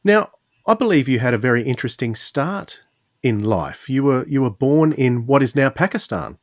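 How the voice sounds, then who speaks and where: A man's voice: mid-range voice, very animated, moderate pace, no echo, clean studio sound. A man hosting a podcast, chatting casually in a rambling, hesitant way and often correcting himself. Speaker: podcast host. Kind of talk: casual conversational podcast talk.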